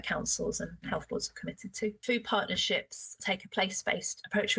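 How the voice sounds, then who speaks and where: A woman's voice: on the low side, pushed and bright, husky, rough recording. A woman, a food partnership coordinator, explaining her work in an interview, thoughtful with fillers. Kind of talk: interview answer.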